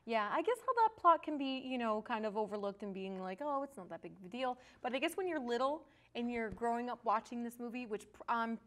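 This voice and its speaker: A woman's voice: fairly high pitch, expressive, a little fast, hardly any pauses, a bit bright. A woman chatting informally and enthusiastically, like a fan, as a guest co-host. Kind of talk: casual chat.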